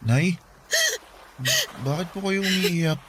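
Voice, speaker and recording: An actor playing a worried, dutiful young eldest son who asks anxious, respectful questions in a radio drama. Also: male voice, high-pitched, slow, background noise. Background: music.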